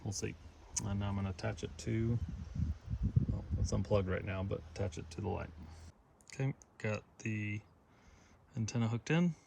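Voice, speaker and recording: A man casually talking through a hands-on install, halting and thinking out loud as he works. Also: fairly low pitch, gravelly. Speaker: DIY vehicle install presenter. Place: in and around a parked pickup truck. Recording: noisy vehicle sound with clicks.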